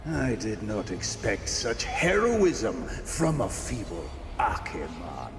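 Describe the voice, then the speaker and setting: Villain king for a game: old, haughty, creaky voice, ponderously slow, imperious. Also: on the low side, wildly swooping pitch, full character voice. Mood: grave, weary. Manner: grave, imperious, theatrical. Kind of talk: dramatic video game voice acting. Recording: echoey hall reverb, music underneath. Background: music.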